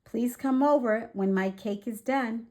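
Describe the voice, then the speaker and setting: A woman's voice: fairly high pitch, unhurried, dark tone, voice pushed up, close to an everyday voice. A woman voicing an eager, polite young female raccoon in a children's storybook, with earnest, sing-song questions. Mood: eager, friendly.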